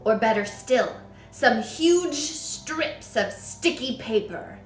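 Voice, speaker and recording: A woman's voice: expressive, slow pace, faint music behind. A woman voicing a huge, child-hating headmistress who barks and rants, read aloud in an echoey room. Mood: scornful. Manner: booming, barking, ranting.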